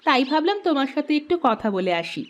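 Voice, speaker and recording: A woman's voice: high voice, big pitch swings, quick pace, muffled recording, slight background noise. A woman voicing a friendly, chatty village neighbour in a cartoon, with an easygoing manner. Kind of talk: cartoon voice acting.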